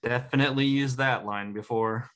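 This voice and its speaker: A man's voice: medium pitch, fairly even intonation, normal speed, fairly bright tone, close to an everyday voice. A man playing a laid-back, supportive buddy in his thirties, casual and easygoing, at a comedy table read on a video call. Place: home room on a video call.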